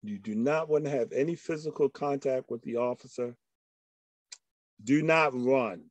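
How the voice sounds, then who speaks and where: A man's voice: mid-range voice, normal speed, no room sound. An older man giving a slide presentation to a discussion group over a video call. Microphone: video call microphone.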